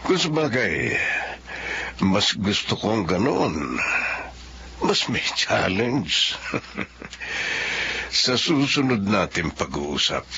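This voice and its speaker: An actor playing a scheming, lecherous middle-aged male boss in a radio drama, slow and smug with low chuckles. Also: big pitch swings, sharp tone, heavily acted. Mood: menacing. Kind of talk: Filipino radio drama acting. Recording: dull lo-fi audio with faint hiss.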